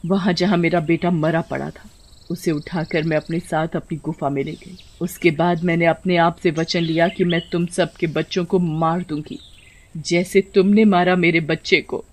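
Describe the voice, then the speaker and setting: A woman's voice: lowish voice, steady tone, normal speed, lightly acted. Woman voicing a menacing, vengeful giant female serpent. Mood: bitter vengeance. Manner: menacing, taunting, gloating. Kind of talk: Hindi horror cartoon story acting.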